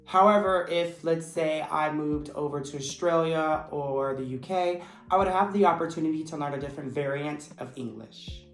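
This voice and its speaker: Man, measured explaining, roomy echo, faint music underneath. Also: on the high side. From United States. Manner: measured, steady, earnest explaining. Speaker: English teacher. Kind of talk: explanatory vlog talk. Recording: echoey room with faint music. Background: faint background music.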